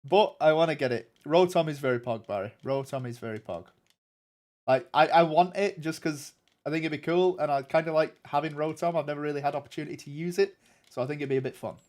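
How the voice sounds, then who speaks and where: A man's voice: a little high, quick pace, a little mellow. A young man with a nasal voice, chatting casually with viewers on a game stream in a rambling way. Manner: rambling and chatty, many ums and restarts. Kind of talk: casual livestream chat answering viewers.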